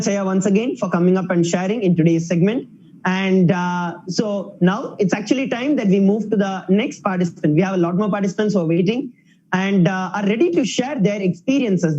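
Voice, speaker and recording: A woman's voice: medium pitch, dark tone. A woman hosting a live online program, thanking a participant and announcing the next in a polite, formal way. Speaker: host. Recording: dull lo-fi audio with faint noise.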